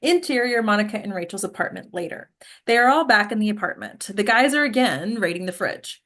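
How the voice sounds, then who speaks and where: A woman's voice: mid-range voice, animated, a little fast, fairly bright tone. A woman reading stage directions as scripted narration at a table read.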